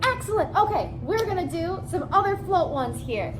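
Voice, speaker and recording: A woman's voice: extremely high pitch, sing-song, normal speed, noisy background. A woman co-hosting a children's science session in an informal, upbeat and encouraging way, explaining each step simply. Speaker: children's science demonstrator and co-host.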